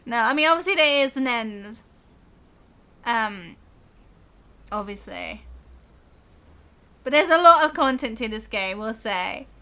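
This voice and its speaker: A woman's voice: on the high side, expressive, a little slow, long pauses, sharp tone, smooth. A giggly, chatty woman giving casual live commentary while streaming a video game. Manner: giggly, chatty, reacts to chat.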